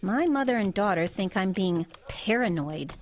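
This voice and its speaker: A woman's voice: medium pitch, expressive, moderate pace, slight background noise, close to an everyday voice. An actress playing a flustered, earnest, suspicious middle-aged mother turned amateur sleuth in an audio drama, hesitant in her natural dialogue.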